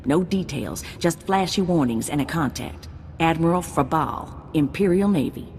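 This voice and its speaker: A woman voicing a brisk, wry bounty broker giving out jobs. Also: low-pitched, lively intonation, a little mellow, a little hiss or hum.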